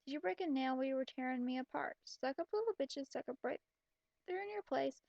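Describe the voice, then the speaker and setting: A young woman with a high-pitched voice and plenty of fry reads a story aloud and picks it apart as she goes. Her manner is sarcastic, blunt and exasperated. The sound is close and dry. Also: animated, a little fast, darkish tone, no echo, dull audio. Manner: exasperated, mocking, blunt asides. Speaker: commentator reading fan fiction aloud. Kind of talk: sarcastic reading with running commentary.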